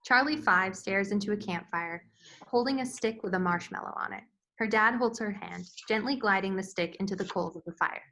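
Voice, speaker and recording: A woman's voice: mid-range voice, brisk, sharp tone, rough recording. A woman reading screen directions as the narrator, in scripted narration style.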